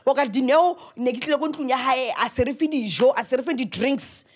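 A woman's voice: high-pitched, fast. A woman in her early thirties with a South African accent, chatting casually as a guest in an emphatic, exclamatory way. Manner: emphatic, exclamatory, repeats phrases. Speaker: podcast guest. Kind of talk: casual chat. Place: indoor lounge, on a sofa. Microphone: handheld microphone.

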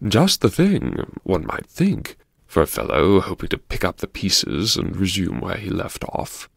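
Man playing a slow, genteel, troubled gentleman diarist. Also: low voice, huge pitch swings, vocal fry, slightly rough, no room sound, clean studio sound, full character voice. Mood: wry, confessional. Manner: mannered, hesitant, politely formal. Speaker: audiobook narrator. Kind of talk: first-person dramatic audiobook narration.

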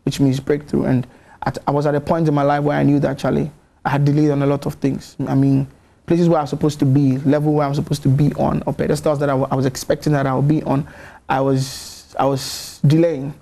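Soft-spoken Ghanaian man with gravelly voice. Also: on the high side, flat delivery, brisk. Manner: soft-spoken, hesitant, repeats words while thinking. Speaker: gospel musician guest. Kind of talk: studio TV interview. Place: bright TV studio.

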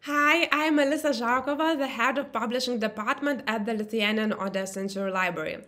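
A woman's voice: fairly high pitch, fairly quick, pushed and bright. A young woman who heads a library department, giving a formal prepared statement.

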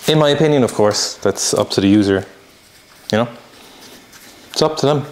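A man's voice: medium pitch, normal speed. An Irish man in his thirties explaining how to make dumplings in a home kitchen, laid-back and dry, recorded by a camera a few feet away. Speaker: cook demonstrating a recipe. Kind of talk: casual cooking demo chat.

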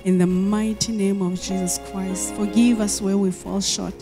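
A woman's voice: medium pitch, flat delivery, normal speed, over music. A middle-aged woman preaching a sermon into a handheld mic on a church hall stage, earnest and repeating words as she searches for phrasing.

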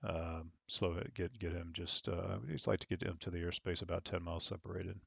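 Man, young adult, air traffic controller calls, calm thinking-aloud manner, low gravelly voice, dry lo-fi sound. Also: a little fast, dry recording. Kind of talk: radio calls and casual explanation. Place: home room at a desk. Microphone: headset.

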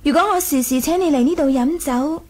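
A woman's voice: sits high, fairly quick, a little mellow, lightly acted. A woman playing a proud, coquettish young Mongol princess in a radio drama, teasing and imperious with a wistful streak. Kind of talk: Cantonese 1980s radio drama acting.

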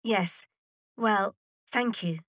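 A woman's voice: medium pitch, very slow, no echo, studio quality. A woman playing a proud, poised young heiress who speaks formally in a period audio drama. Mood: cool, preoccupied.

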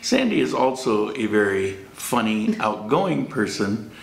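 Gravelly middle-aged man in a roomy room. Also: fairly low pitch, sing-song, a little slow. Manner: joking, laughs often. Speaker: vlog host. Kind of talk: casual Q&A chat. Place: small warm-lit room indoors. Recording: roomy echo.

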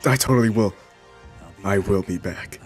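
A man with a fairly low voice ad-libs a goofy, deadpan dub over a cartoon. It is an amateur, improvised comedic voice-over, with music playing underneath. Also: normal speed. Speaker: amateur fan dubber.

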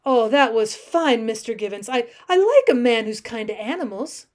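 A woman voicing a proud young frontier woman in a storybook, speaking in a deliberate manner. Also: on the high side, lively intonation, a little mellow, lightly acted. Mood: admiring. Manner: deliberate, saccharine. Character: proud young frontier woman, teasing, sharp, later softened. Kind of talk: acted storybook dialogue.

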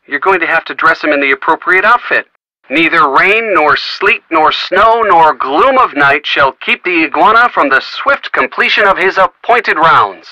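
A man's voice: fairly high pitch, bright, forceful tone, no room sound, poor audio. An actor playing a friendly man in a video game who gives folksy puzzle hints with wry little asides. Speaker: hint-giving game character. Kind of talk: recorded video game dialogue.

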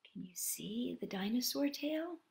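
A woman's voice: fairly high pitch, expressive, a little slow, creaky, a little mellow, reverberant. A woman hosting storytime for young children, reading a picture book expressively and addressing the children directly with questions. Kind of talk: picture-book read-aloud for young children with asides and sing-song greetings.

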